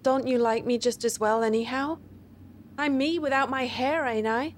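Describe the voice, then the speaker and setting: A woman's voice: high voice, steady tone, normal speed, slight background noise, voice pushed up, natural voice. A woman voicing an anxious, loving young wife who speaks in an eager, pleading way.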